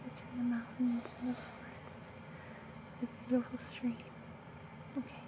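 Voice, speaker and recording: A woman whispering breathily and slowly in a soothing, reassuring ASMR guided relaxation roleplay. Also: fairly high pitch, monotone, noisy background.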